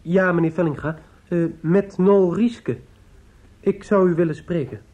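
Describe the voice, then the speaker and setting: A man's voice: high voice, steady tone, a little slow. An actor in a Dutch radio play as a troubled young man, speaking in a pensive, hesitant and formal way. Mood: hesitant, purposeful. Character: troubled, brooding young man, drinking early, polite but insistent. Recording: muffled old radio recording with faint noise.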